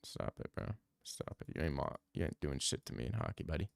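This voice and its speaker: A man's voice: extremely low pitch, lively intonation, fairly quick, vocal fry, no echo, studio quality. A young man live-streaming from home on a desk mic, bantering loosely with his chat and swearing freely. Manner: loose, profane banter, reads chat aloud and riffs.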